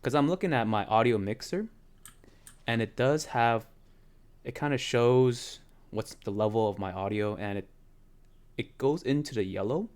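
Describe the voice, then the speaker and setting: A man with a very low voice chatting on a live stream while gaming, rambling and hesitant with many fillers. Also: normal speed, darkish tone, clean tone. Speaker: game streamer. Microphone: desk mic.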